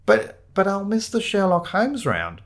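A man's voice: sits high, big pitch swings, measured pace, pitched above the performer's own voice. A man voicing an eccentric, pedantic amateur detective for a story, precise, clipped and insistent. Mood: earnest, sulky.

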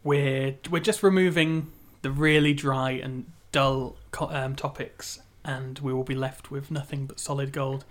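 A man co-hosting a casual podcast, chatty and quick to laugh. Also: mid-range voice, fairly even intonation. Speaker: podcast co-host. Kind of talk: casual chat.

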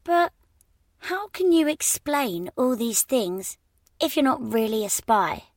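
A man's voice: very high-pitched, big pitch swings, slow, no echo, studio quality, pitched above the performer's own voice, exaggerated voice. A man voicing an earnest young man who presses his questions indignantly. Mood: insistent.

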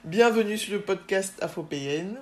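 A woman hosting a podcast interview, asking questions in a relaxed, encouraging way with many fillers. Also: mid-range voice, moderate pace, a little hiss or hum. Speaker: podcast host.

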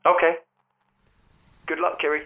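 A man's voice: fairly high pitch, flat delivery, unhurried, sharp tone. An actor playing a caring, bookish man in a naturalistic audio drama, earnest and wry, heard through a telephone filter. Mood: tender, longing. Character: caring, bookish man missing his departed friend. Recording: tinny phone line, music behind.

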